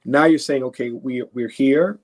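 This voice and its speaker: A man's voice: medium pitch, moderate pace, a little mellow. Conversational man from Haiti, emphatic explainer. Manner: explains through imagined first-person quotes; emphatic, often checking with "right?". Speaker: financial expert guest. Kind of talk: conversational interview answers.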